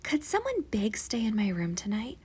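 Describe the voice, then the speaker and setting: A woman voicing a timid little boy who is afraid of the dark, in a small, breathy, hesitant voice for a storybook. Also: on the high side, wildly swooping pitch, moderate pace, exaggerated voice. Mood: timid, pleading.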